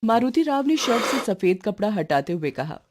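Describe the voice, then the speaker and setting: A woman's voice: mid-range voice, big pitch swings, moderate pace, a little mellow, a little hiss or hum. A woman giving a scripted narration of a horror story.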